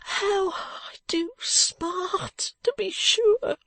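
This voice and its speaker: A pathetic, battered young gentleman from a Victorian storybook, played by a woman narrator. The voice is pitched up and strained, swinging widely as it whines and groans in drawn-out, self-pitying complaint. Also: big pitch swings, breathy edge, no echo, full character voice. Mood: wailing in pain. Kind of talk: audiobook character voice from a Victorian novel.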